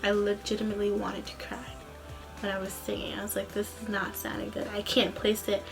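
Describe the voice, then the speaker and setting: A woman's voice: mid-range voice, monotone, fairly quick, vocal fry, over music. A young adult woman speaking as a vlogger in a casual, rambling, informal storytelling way. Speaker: vlogger, student singer.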